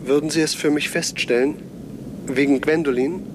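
Troubled male scout over clear background noise acted. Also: fairly high pitch, fairly even intonation, normal speed, lightly acted. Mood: weary, guarded. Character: troubled, resolute male scout reporting from forbidden island. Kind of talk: German radio play acting. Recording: 1970s radio drama, noisy outdoor ambience.

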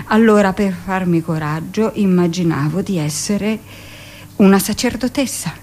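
A woman's voice: fairly low pitch, dark tone, natural voice. Confiding hardened midwife, acted by a woman. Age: middle-aged. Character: hardened middle-aged hospital midwife, matter-of-fact about cruelty, confiding. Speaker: actress performing a radio monologue. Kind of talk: dramatic first-person theatrical monologue.

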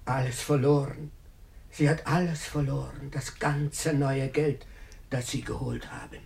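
An actor playing a fretful, devoted old Russian manservant in a German radio play, deferential and lamenting. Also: male voice, medium pitch, fairly even intonation, measured pace, gravelly, darkish tone. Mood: anxious, lamenting.

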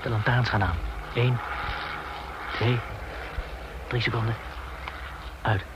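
An actor playing an eager, excitable soldier answering his chief in a radio play. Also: medium pitch, takes it slowly, highs cut off, natural voice. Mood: alert. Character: male soldier, eager subordinate in a night raid. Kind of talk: Dutch radio play acting. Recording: loud battle and vehicle noise.

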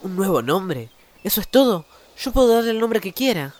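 A woman's voice: mid-range voice, sing-song, moderate pace, a crowd in the background, close to an everyday voice. A woman playing an earnest, impatient young boy hero in a radio drama. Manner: urgent, insistent.

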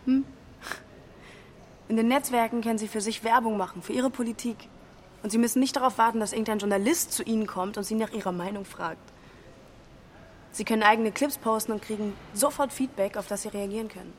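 A woman's voice: a little high, brisk, slight background noise, close to an everyday voice. An actress playing a cool, shrewd woman in her twenties in a radio drama, direct and challenging in natural conversation. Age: 20s.

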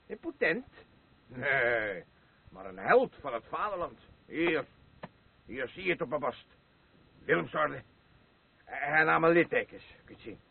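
A muffled radio play recording of a boastful old war veteran, drunk and crude. His voice is husky and fairly high, lurching up and down as he blusters, halts and rambles. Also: sing-song, slight background noise, exaggerated voice. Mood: boastful, angry. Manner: slurring, rambling, halting, blustering.

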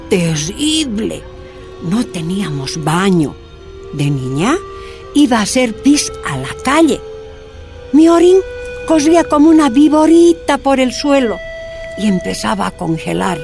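A woman's voice: fairly high pitch, very animated, slow pace, long pauses, a little mellow, soft background music, exaggerated voice. A woman playing a proud, plain-spoken older Bolivian miners' activist in a radio drama, folksy and emphatic, with a Bolivian accent. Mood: reminiscing. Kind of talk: radio drama acting, telling memories.

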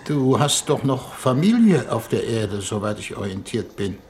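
A man's voice: medium pitch, very animated, normal speed, a little mellow, natural voice. An actor in a 1950s German radio play, playing an uneasy, self-justifying government envoy, earnest and formal as he pleads with an old friend. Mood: awkward. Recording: faintly noisy 1950s radio recording.

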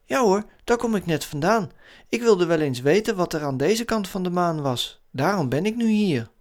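Man acting a small moon buggy, storybook figure, timid, friendly, eager, raised pitch. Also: expressive, moderate pace. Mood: friendly, helpful. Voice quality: trembling when frightened.

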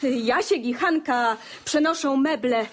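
A woman's voice: high voice, takes it slowly. An actress playing a bustling, fussy middle-aged country wife and mother in a radio play, coaxing in a homely way. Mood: coaxing, fussing. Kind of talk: Polish radio play acting.

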